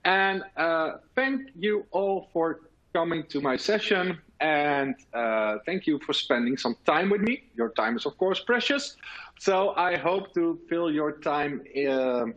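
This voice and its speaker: A man's voice: fairly high pitch, expressive, slow pace, fairly bright tone, muffled recording. A man with a Dutch accent, a native Dutch speaker, presenting earnestly at a virtual conference. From Netherlands. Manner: earnest, many filler sounds and restarts.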